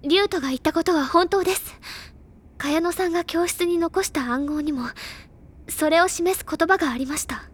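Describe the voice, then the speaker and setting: A woman voicing a gentle, perceptive teenage girl in an audio drama, explaining in a measured, earnest way with soft phrase endings. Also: very high-pitched. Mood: earnest, grave. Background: faint music.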